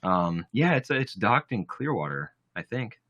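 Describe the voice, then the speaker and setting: A man with a very deep baritone chats loosely, trailing into fillers and restarts. The sound is close and dry, with no room around it. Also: measured pace, no echo, dull audio. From Tampa area, Florida. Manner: relaxed, rambling with fillers. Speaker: audiobook narrator. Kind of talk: casual live chat.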